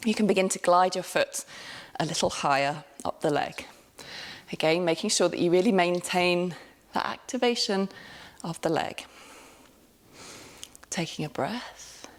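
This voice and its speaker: A woman yoga teacher calmly cueing a class step by step, with light laughs and the odd self-correction. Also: lowish voice, unhurried. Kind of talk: guided yoga class instruction.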